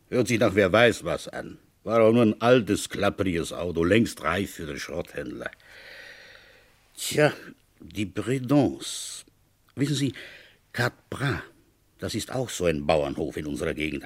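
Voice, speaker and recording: An actor playing a gruff, world-weary middle-aged village police commissioner in a German radio crime play, talking in a grumbling, conversational way. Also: male voice, lowish voice, sing-song, slow pace, exaggerated voice. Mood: musing storytelling. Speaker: narrator and lead character.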